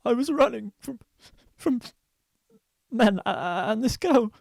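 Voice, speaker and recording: Actress as panicking young-girl's attacker in radio drama; high voice, creaky, slow broken delivery. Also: expressive, a little mellow, no echo, clean studio sound, exaggerated voice. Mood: panicked. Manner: stammering, halting, broken speech. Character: panicking distraught person confessing to stabbing a girl. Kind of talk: audio drama acting.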